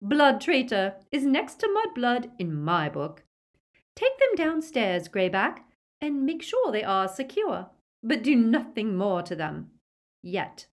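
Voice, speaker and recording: A woman voicing an unhinged, imperious villainous witch in an audiobook, sharp and commanding. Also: on the high side, big pitch swings, takes it slowly, voice pushed up, exaggerated voice. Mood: cruel, commanding.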